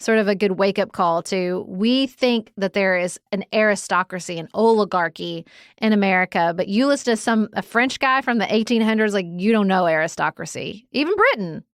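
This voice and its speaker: A woman co-hosting a podcast, talking through a book in an animated, opinionated, conversational way. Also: mid-range voice, normal speed, smooth, no room sound, studio quality. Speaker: podcast co-host. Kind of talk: casual book discussion.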